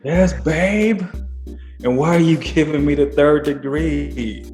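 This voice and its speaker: A man's voice: on the high side, takes it slowly, darkish tone, reverberant, soft background music. A man acting in an audio drama as an evasive, defensive boyfriend who is reluctant to commit.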